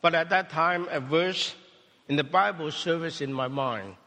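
Cantonese-native pastor giving a sermon in short, paused phrases, slightly high voice, long reverb of a church hall. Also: male voice, sharp tone. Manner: speaks in short phrases, pausing for interpretation. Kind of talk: sermon testimony with interpreter.

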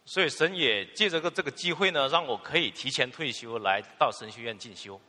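Male sermon interpreter with a bright, animated voice, filler particles between phrases, echoing in a long-reverb church hall. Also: medium pitch, a little fast. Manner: fluent, with filler particles and restarts.

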